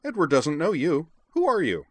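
An exuberant young hacker girl, as acted by a man in a story reading. The voice is pitched high, bouncy and blunt, with grand flourishes, in a close, dry recording. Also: big pitch swings, fairly quick, no room sound. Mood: blunt, curious. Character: eccentric exuberant young hacker girl, speaks in third person.

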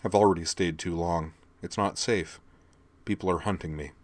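Guy doing a tired soft-spoken dad type, low and a bit harried. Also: steady tone, normal speed, no room sound, lightly acted. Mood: apologetic. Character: weary fugitive father figure, gentle.

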